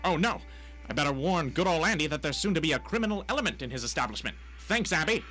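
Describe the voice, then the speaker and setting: A man's voice: a little high, expressive, quick pace, sharp tone, soft background music. A man playing a wisecracking, hapless private detective in a noir radio drama, theatrical and full of old-timey slang. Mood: excitable. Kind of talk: hard-boiled noir radio drama narration.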